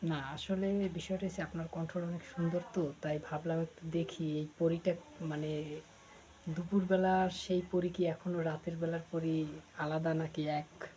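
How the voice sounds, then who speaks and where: A Bangladeshi man chats flirtatiously on a casual phone call as a prankster. His tone is dark and relaxed, and he teases and coaxes as he goes. Some noise sits behind his voice. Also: a little high, moderate pace. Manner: teasing, coaxing. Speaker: prank caller.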